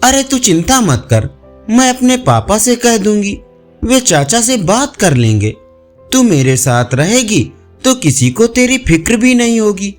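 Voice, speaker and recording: A man's voice: high voice, big pitch swings, measured pace, soft background music, voice pushed up. A man voicing a bold, breezy young woman urging and reassuring her friend in an audio story.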